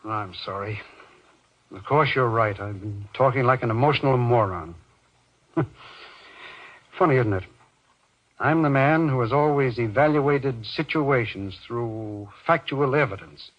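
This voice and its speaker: A man playing an intense, raspy radio-drama doctor. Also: medium pitch, measured pace, long pauses, close to an everyday voice. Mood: chastened. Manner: urgent, commanding. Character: agitated, insistent male doctor, rational but rattled. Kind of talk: old-time radio horror drama acting. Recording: dull, lo-fi old radio recording.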